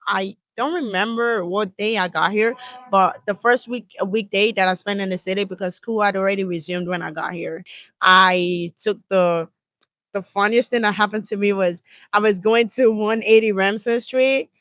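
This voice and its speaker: Bright-voiced young Nigerian woman. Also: medium pitch, moderate pace, no room sound. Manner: chatty, many "like" fillers, animated retelling. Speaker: guest. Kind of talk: casual storytelling in conversation. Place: indoor room in New York.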